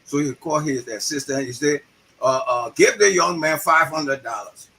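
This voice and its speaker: A man in his sixties with a Liberian accent, a playwright telling stories in an interview, animated and often repeating words. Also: on the high side, normal speed, creaky, slight background noise. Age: 60s. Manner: animated, repeats words, acts out quoted voices. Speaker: guest, playwright. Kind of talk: storytelling interview talk.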